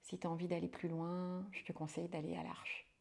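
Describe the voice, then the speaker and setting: Guest, woman, somewhat low voice, halting and conversational. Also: little pitch movement, vocal fry, a little mellow. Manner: hesitant, many fillers and restarts. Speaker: hypnotherapist and midwife, guest. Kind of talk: casual conversational interview.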